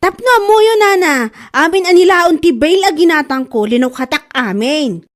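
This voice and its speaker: A woman playing a quarrelsome, gullible, indignant woman in a comedy audio drama, with an Ilocano accent and drawn-out exasperated delivery. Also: sits high, expressive. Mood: aggrieved, complaining. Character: quarrelsome, gullible woman accusing a bag seller of lying. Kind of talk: Ilocano comedy radio-style drama acting.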